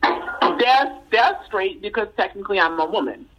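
A woman speaking as a guest in a casual conversation, heard through a tinny phone line. Her pitch sits in the middle of the range. She circles back over her own phrases and keeps saying "you know" as she talks. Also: animated, normal speed, heavy background noise. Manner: repeats phrases, many fillers like "you know".

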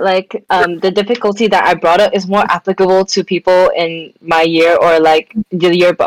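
A woman's voice: fairly low pitch, dry recording, low-quality recording. A young woman from Malaysia, a student guest on a casual podcast, answering thoughtfully with fillers like um and like. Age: young adult. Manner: reflective, with fillers like um and like. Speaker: guest, student society president.

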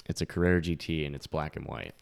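Podcast co-host, male, very deep voice, blunt and easygoing. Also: fast, gravelly. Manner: blunt, laughs often, wraps up the show. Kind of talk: casual chat among friends.

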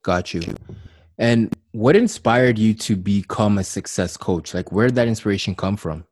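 A man's voice: fairly low pitch, lively intonation, normal speed, a little mellow, dry recording, clean studio sound. A man hosting a podcast interview, affirming his guest and often repeating words as he talks. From Canada. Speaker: podcast host. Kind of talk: casual interview chat.

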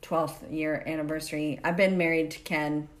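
A woman's voice: sits low, little pitch movement, moderate pace. A middle-aged woman hosting a podcast, chatting casually as she interviews a guest. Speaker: podcast host.

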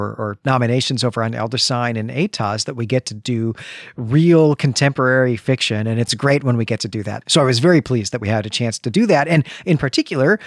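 A man's voice: mid-range voice, no echo, clean studio sound. A man co-hosting a podcast, talking about fiction in an enthusiastic, emphatic, conversational way. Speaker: podcast co-host. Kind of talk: conversational literary podcast discussion.

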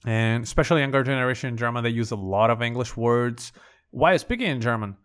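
A man's voice: medium pitch, fast, a bit bright, clear, resonant tone. Male podcast host, Brazilian Portuguese accent, casual and conversational, thinks aloud. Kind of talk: casual language-learning podcast monologue.

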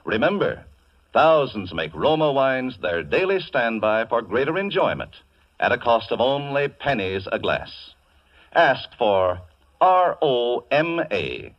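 Male 1940s American radio ad announcer, animated intonation, muffled old-broadcast sound. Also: mid-range voice. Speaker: commercial announcer on a radio show. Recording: dull vintage 1940s radio recording.

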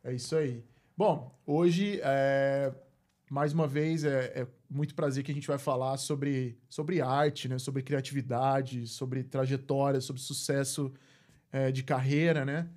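A man's voice: medium pitch, steady tone, slow pace, a little mellow. A man hosting a podcast, chatty and upbeat, often tagging sentences with a questioning aside. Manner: chatty, upbeat, frequent "né?" tags. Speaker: podcast host. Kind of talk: casual podcast chat.

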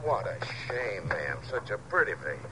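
A man playing a smooth-talking, wary swindler with falsely courteous manners in a 1950s radio drama. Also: on the low side, big pitch swings, moderate pace, music in the background. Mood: ingratiating, wary. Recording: noisy, dull 1950s radio recording.

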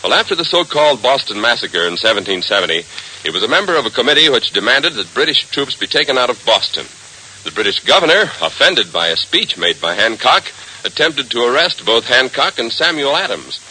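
A man's voice: medium pitch, sing-song, brisk, bright, forceful tone, rough recording. An American man announcing and narrating an old radio serial in a dramatic, declamatory style. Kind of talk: 1940s radio scripted narration. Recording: hissy old radio recording.

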